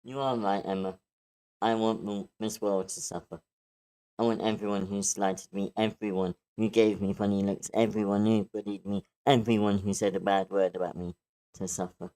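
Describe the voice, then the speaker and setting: A man playing a wounded, vengeful villain in a screenplay table read, with slow, menacing, dramatic phrasing. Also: on the low side, flat delivery, warm tone, natural voice, poor audio. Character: vengeful, wounded male villain running for mayor.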